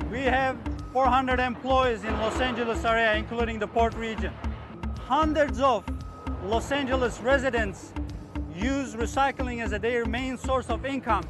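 A man's voice: very high voice, fairly even intonation, normal speed. Turkish-accented man over music. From Turkey. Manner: earnest, slightly broken English phrasing. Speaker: recycling plant general manager. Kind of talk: documentary interview. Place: outdoors at an industrial port. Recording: music bed underneath.

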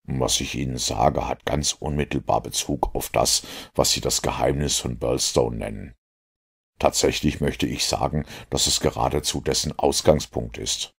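A man voicing a composed master detective in an audiobook, lecturing in a measured, self-assured way. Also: rumbling low, fairly even intonation, creaky, breathy edge, full character voice.

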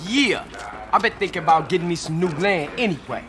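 An actor playing a confident, streetwise young gangster in a video game, casual and slangy. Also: male voice, sits high, animated, fairly quick, music in the background. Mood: cocky. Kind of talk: video game cutscene acting.

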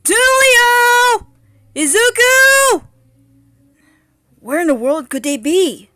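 A woman's voice: very high-pitched, very animated, drawn-out words, bright, forceful tone, dry recording, heavily acted. A woman voicing a feisty young warrior fairy princess, wary and bold, in dramatic, sassy amateur cartoon lines. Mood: confused, uneasy. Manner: dramatic, sassy, hesitant stammers.

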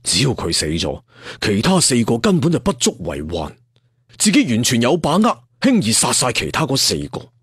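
A man's voice: medium pitch, huge pitch swings, normal speed, breathy edge, no room sound, clean studio sound, full character voice. A man voicing an arrogant, scornful martial-arts villain lord in a story, sneering with contempt. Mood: smug musing.

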